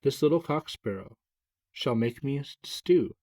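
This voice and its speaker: A man voicing a mischievous little boy in a nursery rhyme, lifting his voice higher and louder than his own. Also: expressive, unhurried, lightly acted. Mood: gleeful.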